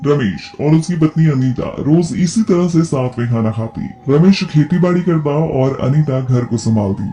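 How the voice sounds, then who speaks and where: A man's voice: fairly high pitch, expressive, normal speed, hardly any pauses, warm tone, faint music behind. A man narrating a scripted folk story as the narrator.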